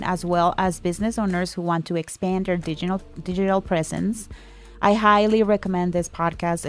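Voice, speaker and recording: A woman co-hosting a podcast, giving recommendations and announcements in a casual style. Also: on the low side. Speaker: podcast co-host.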